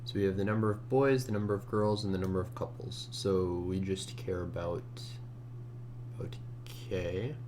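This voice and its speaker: Low-voiced man thinking aloud over typing. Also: moderate pace. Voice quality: low morning voice. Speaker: competitive programmer narrating a screencast. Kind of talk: casual think-aloud commentary while coding. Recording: steady hum and keyboard typing noise. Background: computer keyboard typing, hum.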